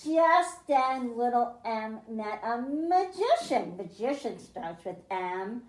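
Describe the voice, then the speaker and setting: A woman's voice: fairly high pitch, animated, takes it slowly, reverberant. A woman librarian reading a picture book aloud to children, stressing the m sounds in a sing-song storytime way, then explaining a science activity. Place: library room.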